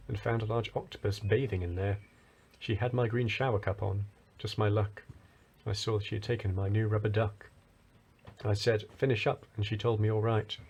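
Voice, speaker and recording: A man narrating a rhyming children's story as a storyteller, with a husky voice. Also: fairly low pitch, darkish tone.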